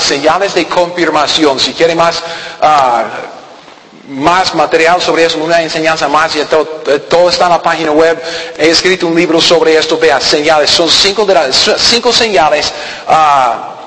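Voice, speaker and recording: A man's voice: on the high side, a bit bright. A man preaching a sermon in a church hall, earnest and questioning, with frequent restarts and fillers. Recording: echoey hall with long reverb.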